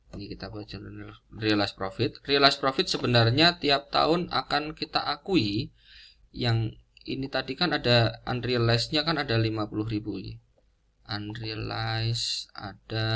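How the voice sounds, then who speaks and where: A man's voice: fairly low pitch, vocal fry, bright, forceful tone. A man giving an unscripted lesson, explaining step by step in a didactic way and repeating key figures. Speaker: accounting lecturer.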